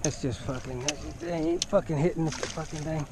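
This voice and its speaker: A man's voice: a little high, wildly swooping pitch, normal speed, vocal fry, noisy background. A man chatting and cursing casually while fishing from a pontoon boat, recorded on an action camera. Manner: profane, joking. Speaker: angler. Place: pontoon boat on a lake, outdoors.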